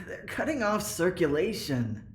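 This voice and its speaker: An actress playing a treacherous captive doctor in an audio drama, halting, strained and sardonic. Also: female voice, fairly low pitch, sing-song, fairly bright tone, full character voice. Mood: weary, sardonic. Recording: roomy, music underneath.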